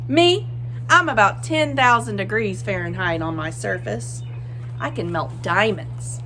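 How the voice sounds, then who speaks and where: Boastful storybook Sun, played by a woman: mid-pitched, theatrical, playful, stretches key words, some background noise. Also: close to an everyday voice. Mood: smug, playful. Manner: theatrical, bragging, with drawn-out emphasis. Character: boastful, playful Sun, a cheerful showboating star. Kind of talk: expressive picture-book read-aloud.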